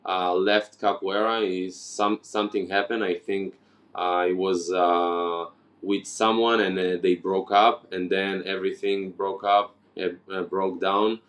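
A man's voice: low-pitched, flat delivery, measured pace, fairly bright tone. A man from Ukraine who grew up in Israel, a guest in a casual interview, talking hesitantly with many fillers and restarts. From Ukraine, raised in Israel.

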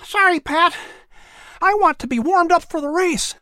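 An eager young colonial boy, voiced by a woman talks in an extremely high, childlike voice. He is friendly and keen, a character in a children's audio story. The recording is very dry. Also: moderate pace, bright, forceful tone, no room sound.

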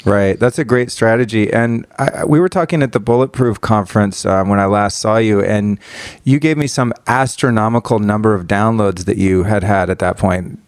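A man in his forties hosting a casual podcast interview, enthusiastic and quick to laugh. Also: on the low side, clear, resonant tone, no echo, studio quality. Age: 40s. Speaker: podcast host.

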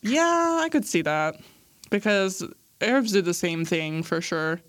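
A woman's voice: on the low side, animated, unhurried, no room sound. A young woman hosting a podcast, asking questions in a friendly, rambling way with lots of fillers. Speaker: podcast host. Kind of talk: casual interview.

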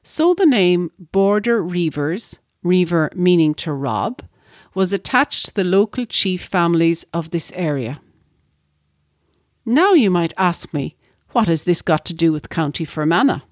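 A woman with a lowish voice reads a prepared history segment in a steady, measured way. The sound is dry and close, with no room echo. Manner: measured, reading from a script. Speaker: podcast co-host. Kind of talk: scripted narration.

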